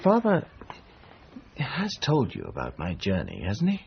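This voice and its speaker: An actor playing a wry, carefree English gentleman gambler in a period radio drama, with a dry, genteel manner. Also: on the low side, wildly swooping pitch, a little slow, gravelly, exaggerated voice. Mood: hesitant, earnest. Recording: dull lo-fi radio copy with hiss.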